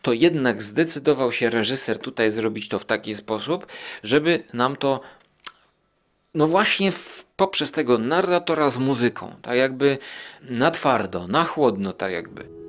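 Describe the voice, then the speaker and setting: A man's voice: medium pitch, animated. Hesitant man musing as podcast host. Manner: halting, rambling, thinking aloud with drawn-out hesitations. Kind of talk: casual monologue review of radio plays.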